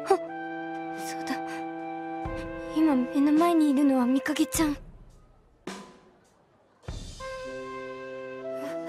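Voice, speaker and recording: A woman playing a flustered, lovestruck high school girl in an anime comedy, rambling dramatically in anxious inner monologue. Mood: panicked. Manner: overexcited, dramatic, rambling in self-reproach.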